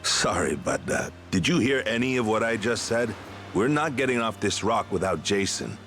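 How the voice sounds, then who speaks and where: A man's voice: lowish voice, expressive, moderate pace, fairly bright tone, soft background music. An actor playing an earnest, loyal veteran pirate crewman in a video game, explaining things like a storyteller. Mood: mildly exasperated.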